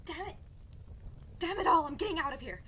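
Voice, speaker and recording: A woman's voice: very high voice, lively intonation, fairly quick, bright, forceful tone, slight background noise, heavily acted. A woman playing a defiant, impatient young princess in a scripted audio comedy, blunt and exasperated. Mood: angry.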